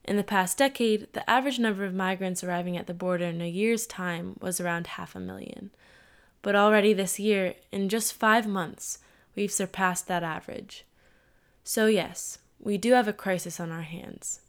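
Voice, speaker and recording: A woman delivers scripted documentary narration at a steady, measured pace. Her voice sits mid-range and her delivery stays even throughout. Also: mid-range voice, smooth. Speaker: narrator, host.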